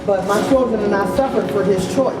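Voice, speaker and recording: A woman's voice: mid-range voice, fairly quick. A woman in her forties telling her own story of hardship as an earnest, preaching testimony at an open mic. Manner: earnest, preaching in third person. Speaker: storyslam speaker. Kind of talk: personal testimony. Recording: echoey, far from the mic.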